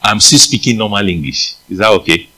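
A man's voice: a little fast, husky. A man with a Nigerian accent preaching a sermon, reading scripture aloud and asking his listeners questions. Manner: animated, leaps in pitch for emphasis, asks the listeners questions.

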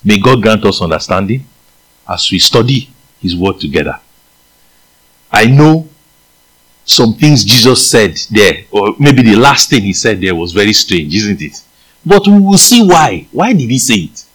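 A man's voice: a little slow, poor audio. A man with a Nigerian accent preaching a sermon, reading scripture aloud and asking his listeners questions. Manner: animated, leaps in pitch for emphasis, asks the listeners questions.

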